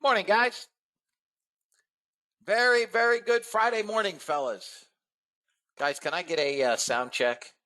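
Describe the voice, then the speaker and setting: A man hosting a live trading webinar, talking casually to his viewers and calling them guys and fellas, a bit hoarse. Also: sits high, fairly even intonation, unhurried, pauses often, bright, forceful tone. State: slightly hoarse voice. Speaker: trading room host.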